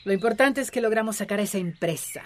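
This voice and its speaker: A woman's voice: medium pitch, animated, fast talker, a little hiss or hum, close to an everyday voice. An actress playing a kindly, fussing village woman who knows plants, in a radio drama.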